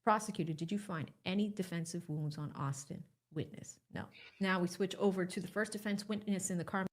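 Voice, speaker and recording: A woman's voice: on the low side, brisk. A woman reporting testimony by reading a trial recap aloud in a factual, clipped question-and-answer delivery.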